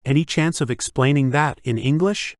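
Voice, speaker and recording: A man's voice: a little high, normal speed, no echo, clean studio sound, voice pushed up, lightly acted. A man voicing a puzzled, wry young new hire in an audiobook, with a plain, questioning delivery. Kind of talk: audiobook character reading.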